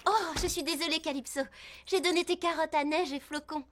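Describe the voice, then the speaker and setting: Very high-pitched cartoon girl. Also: steady tone, a little fast, a bit bright, slight background noise. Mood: tender, cheerful. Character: caring, expressive girl who tends horses. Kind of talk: French cartoon dubbing.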